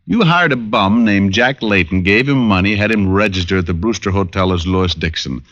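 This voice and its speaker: An actor playing a tough, wry, sarcastic private detective in an old hardboiled radio drama. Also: male voice, on the low side, normal speed, a bit bright, natural voice. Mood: accusing. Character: wisecracking, tough, sardonic male private detective. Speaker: lead detective and narrator. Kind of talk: 1940s hardboiled radio acting. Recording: dull old radio recording with music.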